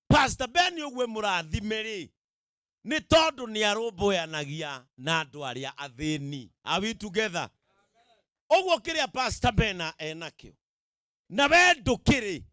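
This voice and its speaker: Kenyan kikuyu guy preaching on a handheld mic, high voice, pretty dry sound, really driving his points home. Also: bright, forceful tone, dry recording. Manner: emphatic, repetitive, checks in with the audience. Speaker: pastor. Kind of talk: sermon to a live gathering. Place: outdoor tented event. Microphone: handheld microphone.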